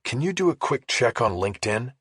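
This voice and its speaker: Man reading as a husky, dogged male journalist. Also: medium pitch, lively intonation, fairly quick, fairly bright tone, dry recording, studio quality. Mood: matter-of-fact. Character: dogged male investigative journalist, the story's hero. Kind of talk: audiobook character dialogue.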